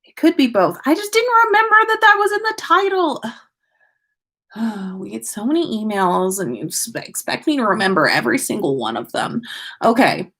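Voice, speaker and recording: A woman's voice: fairly high pitch, a bit bright. A woman hosting a casual story livestream, reading aloud expressively and chatting with listeners. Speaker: podcast host reading listener fan fiction aloud.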